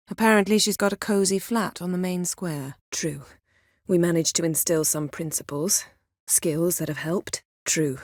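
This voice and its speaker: An actress playing a proud, sharp-tongued, guarded sorceress in a video game, speaking in a cool, clipped, dryly sardonic way. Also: female voice, fairly low pitch, dry recording, studio quality. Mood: cool, composed. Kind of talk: scripted game dialogue acting.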